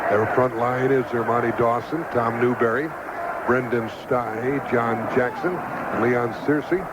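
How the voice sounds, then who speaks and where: American man, radio sportscaster, live football play-by-play, clipped phrasing, mid-pitched voice, lo-fi recording, very noisy background. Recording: dull lo-fi radio broadcast, loud noise.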